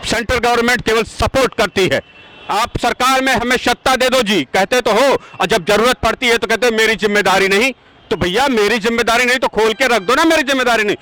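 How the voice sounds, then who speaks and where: Male campaigner, very high bright voice, indignant street interview. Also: brisk, low-quality recording. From Azamgarh, India. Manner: forceful, rhetorical, indignant questioning. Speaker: yajna organizer, campaigner. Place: outdoors at a busy road junction.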